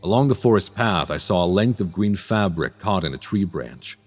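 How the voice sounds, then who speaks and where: An actor playing a polite, troubled man suspected of being a vampire in a radio drama, speaking in measured, formal storyteller phrasing. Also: low-pitched, lively intonation, faint music behind. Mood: brooding, uneasy. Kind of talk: immersive radio drama acting and narration.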